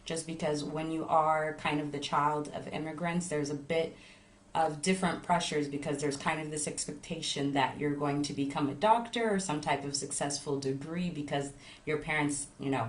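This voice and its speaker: Low-voiced, nervous young woman from Montreal. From Montreal, Canada. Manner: chatty, informal, rambling. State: nervous, a little sleepy. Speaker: travel content creator. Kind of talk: casual personal chat to online viewers. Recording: echoey room.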